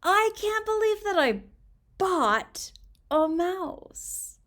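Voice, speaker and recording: A woman's voice: extremely high pitch, big pitch swings, very slow, fairly bright tone, voice pushed up, heavily acted. A woman reading a story aloud as an exasperated mother, theatrical and drawing out her words. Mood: exasperated, dismayed. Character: exasperated mother, dramatic about a costly mouse.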